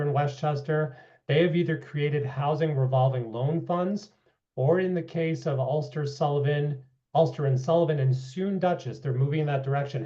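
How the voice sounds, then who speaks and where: A man with a somewhat high, mellow voice, slightly hoarse from a long day, briefing a public meeting on housing figures. He talks in a steady presenter manner with casual asides. The sound is dull and lo-fi. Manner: briefing with data, asides of okay and you know.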